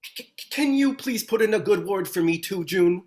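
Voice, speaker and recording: A man's voice: high voice, expressive, moderate pace, a little mellow. A man acting an anxious, pleading pet owner in a screenplay table read.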